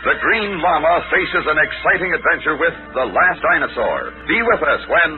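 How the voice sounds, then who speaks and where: A man's voice: a little high, very animated, normal speed. A man announcing scripted credits as a 1940s radio announcer. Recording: tinny old radio broadcast with music.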